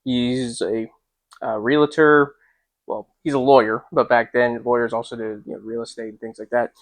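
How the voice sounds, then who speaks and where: A man reading and discussing a classic novel as host, in an informal, measured, slightly hesitant way. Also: mid-range voice, flat delivery, no room sound. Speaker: reader and host. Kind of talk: dramatic literary reading with casual commentary.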